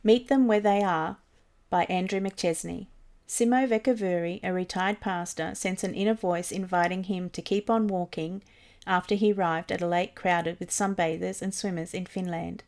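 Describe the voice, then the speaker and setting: A woman's voice: fairly low pitch, fairly even intonation, normal speed, a little mellow. A woman reading a scripted mission story as a volunteer narrator. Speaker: volunteer reader of mission stories.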